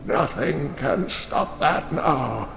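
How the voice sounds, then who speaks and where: An actor playing a frail, dying old scholar who speaks slowly and solemnly in a gravelly voice. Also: male voice, mid-range voice, echoey room, over music, exaggerated voice. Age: older. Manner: slow, solemn and weighty. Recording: game score loud behind voice.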